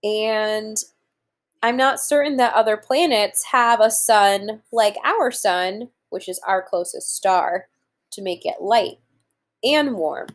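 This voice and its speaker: A woman in her thirties reading aloud to young children as a teacher, pausing to explain things simply and deliberately. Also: medium pitch. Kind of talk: children's read-aloud lesson with explanatory asides. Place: room at home, indoors.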